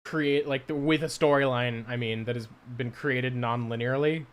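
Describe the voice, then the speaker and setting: A man with a medium-pitched voice chats as a commentator on a live show. He is earnest and wordy, often halting as he works through a thought. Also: steady tone. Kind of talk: casual live commentary chat.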